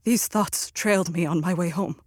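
A woman in a radio play acting an earnest, troubled young male scientist, with formal, measured delivery. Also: fairly low pitch, a little mellow, a little hiss or hum, close to an everyday voice. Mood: doubtful, reflective. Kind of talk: radio play acting and first-person narration.

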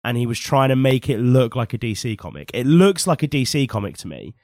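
Slightly husky man with a cold. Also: medium pitch, very animated, fairly quick, darkish tone, dry recording, clean studio sound. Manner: opinionated, teasing. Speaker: podcast co-host. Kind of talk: casual chat.

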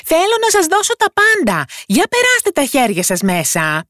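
Tiny cheeky fairy-tale lad, acted by the narrator: high, loud, very animated, bold. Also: no echo, studio quality. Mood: loud, mischievous. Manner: bold, mocking, calling out loudly. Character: tiny cheeky boy, thumb-sized fairy-tale hero. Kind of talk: storybook character voice.

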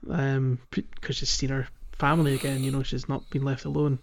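A man co-hosting a podcast, chatting casually about a video game in a halting, conversational way. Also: mid-range voice, level tone, vocal fry. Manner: halting, peppered with "like" and "you know". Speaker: podcast co-host.

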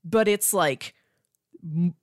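A woman co-hosting a podcast in a casual, informal chat, describing things in a conversational and animated way. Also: fairly low pitch, slow pace, no echo, clean studio sound. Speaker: podcast co-host. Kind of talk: casual chat, pitching movies.

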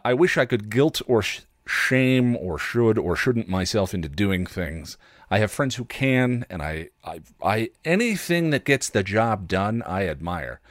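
A man hosting a podcast with a candid personal monologue, reflective and halting, with wry, self-deprecating delivery. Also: fairly low pitch, no echo, clean studio sound. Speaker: podcast host.

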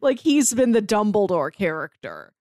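A woman's voice: mid-range voice, sing-song, normal speed, a little mellow, no echo, studio quality. A woman co-hosting a podcast, chatting casually about books. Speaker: podcast co-host. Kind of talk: casual book discussion.

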